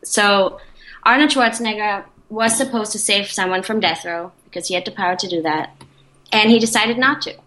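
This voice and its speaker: A woman's voice: medium pitch, moderate pace, pushed and bright, low-quality recording. A woman from Austria with an Austrian accent, a guest on a podcast, chatting casually and asking questions. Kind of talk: casual chat.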